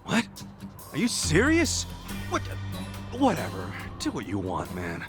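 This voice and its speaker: An actor playing a brash, stubborn ex-con gangster with a gruff, curt manner. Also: male voice, a little high, huge pitch swings, a little slow, music in the background. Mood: irritated. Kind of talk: dubbed game cutscene acting.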